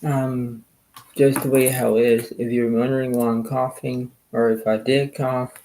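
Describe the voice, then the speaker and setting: A soft-spoken man, a little hoarse, hosting a card game and rambling in repeated filler phrases. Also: mid-range voice, level tone, unhurried, a little hiss or hum, rough recording. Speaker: channel host playing cards. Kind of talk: casual rambling talk to camera.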